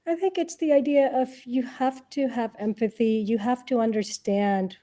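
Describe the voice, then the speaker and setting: Mellow-voiced woman chatting as interview guest. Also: a little high, normal speed. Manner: conversational, illustrates points with imagined quotes. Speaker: interview guest, tech product leader and investor. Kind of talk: casual interview answers.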